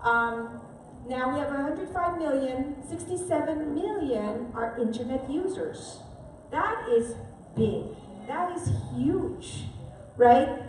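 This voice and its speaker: A woman's voice: high voice, lively intonation, takes it slowly, a little mellow, a little hiss or hum. A woman from the Philippines giving a live seminar presentation, talking conversationally and often checking in with the audience. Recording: echoey hall from far off.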